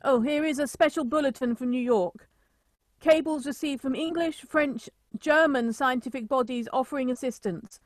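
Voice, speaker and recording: A woman playing a grave radio news announcer, reading emergency bulletins in a formal, measured way for a radio play. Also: high voice, no room sound, lightly acted. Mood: urgent, formal.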